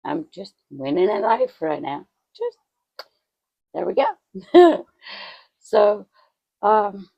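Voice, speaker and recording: A woman in her forties with a low, gravelly voice talks slowly in a dry, close recording. She chats to her viewers from home, poking fun at herself and swearing freely. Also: no echo, muffled recording. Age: 40s. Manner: self-mocking, swearing, laughing at herself. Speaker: vlogger. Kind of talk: casual vlog chat.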